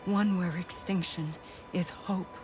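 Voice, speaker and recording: Low, slow, sorrowful otherworldly woman over music. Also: steady tone. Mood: tender, solemn. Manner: solemn, slow, deliberate. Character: sorrowful, resigned otherworldly woman confessing her fate. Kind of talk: cinematic video game acting. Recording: dramatic music under the voice. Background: cinematic score.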